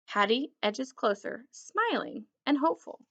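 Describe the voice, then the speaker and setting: A woman reading a children's story aloud in an expressive, deliberate storytelling manner. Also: fairly high pitch, creaky, dull audio. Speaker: children's book reader.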